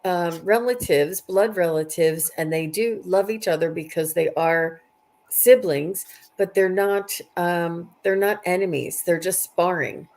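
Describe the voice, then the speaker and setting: Lady doing psychic readings on a live chat, slightly low voice, kinda halting, repeats herself while thinking. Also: a little mellow, a little hiss or hum. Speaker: psychic reader hosting a live stream. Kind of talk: casual live-stream chat, giving readings to viewers.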